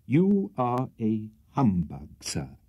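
A man voicing a stern, indignant, dignified elderly gentleman in a storybook, speaking emphatically and distinctly. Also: fairly low pitch, wildly swooping pitch, slightly rough, dull audio, exaggerated voice. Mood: indignant, emphatic. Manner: slow, emphatic, distinct delivery.

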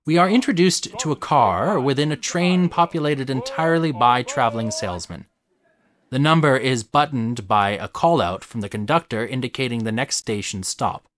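A man reads a scripted video essay with a measured, analytical delivery. His pitch sits in the middle for a man. The sound is close and dry, with no room around the voice. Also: a bit bright, no room sound.

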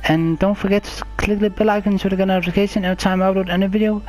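A person giving casual let's play commentary as a gaming channel host, in a halting, hesitant way. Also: medium pitch, level tone, a little mellow, faint music behind, poor audio.

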